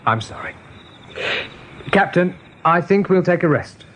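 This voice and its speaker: A young rabbit chief in a radio play, firm but caring, high animated voice. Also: male voice, a little slow, a little hiss or hum. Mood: gentle, reassuring. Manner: decisive, reassuring. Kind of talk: 1980s radio drama acting.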